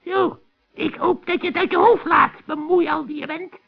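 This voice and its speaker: Cranky scheming old witch, very high shrill cackle, scolding and wheedling, muffled children's radio play. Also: steady tone, moderate pace, gravelly. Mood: grumbling.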